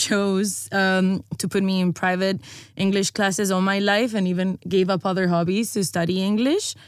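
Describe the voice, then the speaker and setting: A chatty woman hosts a podcast conversation, her mid-pitched voice close and dry. She talks in an easy, laughing manner. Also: smooth, no room sound. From Spain. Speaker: podcast host, copywriter. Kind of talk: casual podcast chat.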